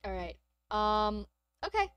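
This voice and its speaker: Woman, hoarse, nearly lost voice, giggly, chatty, dry close sound. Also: medium pitch, very animated, slow, fairly bright tone, no echo. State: nearly lost voice, sore throat. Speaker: streamer co-host. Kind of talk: casual stream chat reviewing games.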